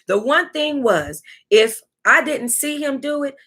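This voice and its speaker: Bright-voiced, sassy American woman reading aloud. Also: high voice, unhurried. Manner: sassy, animated reactions, breaking into laughter and asides. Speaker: book club host reading aloud. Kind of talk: reading a tell-all book aloud with casual, reactive asides.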